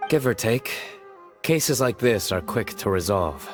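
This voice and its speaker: An actor playing a stern, composed male law enforcer in a video game, with a serious, deadpan and formal delivery. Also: lowish voice, measured pace, soft background music.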